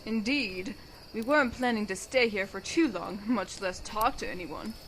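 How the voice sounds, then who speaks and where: A woman playing an old elven mercenary leader in an audio drama, brisk, wry and folksy in a matter-of-fact way. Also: medium pitch, fairly bright tone, natural voice, low-quality recording. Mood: calm, wry. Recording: clear background noise, crickets.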